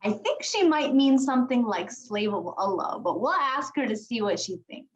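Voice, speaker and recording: A woman's voice: fairly high pitch, lively intonation, moderate pace, muffled recording. A woman facilitating an online youth check-in, affectionate and encouraging, praising each young speaker in turn. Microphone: video call.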